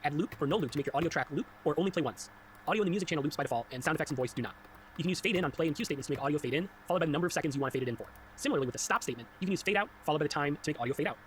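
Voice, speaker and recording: Very fast-talking man narrating a tutorial. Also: a little high, expressive, slight background noise. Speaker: tutorial host and solo game developer. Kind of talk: scripted tutorial narration with casual asides.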